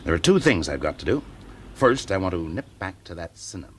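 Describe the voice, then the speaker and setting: Shrewd, low-voiced English fire investigator. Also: male voice, sing-song, a little fast, slight background noise. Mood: brisk, purposeful. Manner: polite, probing, confidently in charge. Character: shrewd, genial, commanding male fire investigator. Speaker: actor playing the lead. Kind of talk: classic radio drama acting.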